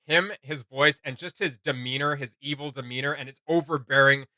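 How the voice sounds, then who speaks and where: A man's voice: mid-range voice, fairly quick, bright, forceful tone, no room sound. A man co-hosting a reaction channel, chatting casually and enthusiastically, rambling with many likes and ums.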